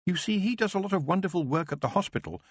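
An earnest young witch, sure of her gift yet torn inside, as a male reader voices her. The voice sits raised above his own and stays husky and heartfelt. It is recorded close and dry. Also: high-pitched, animated, fast talker, dry recording, pitched above the performer's own voice. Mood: heartfelt, troubled.

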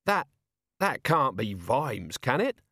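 A man playing a wary, halting ship's captain. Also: mid-range voice, big pitch swings, fairly bright tone, dry recording, studio quality, voice pushed up, heavily acted. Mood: astonished. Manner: halting, disbelieving.

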